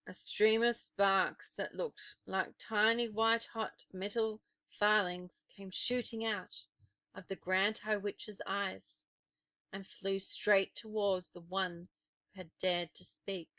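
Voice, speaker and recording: Slow, expressive woman reading a storybook. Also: medium pitch, no echo. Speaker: storybook reader. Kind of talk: dramatic scripted narration of a children's novel.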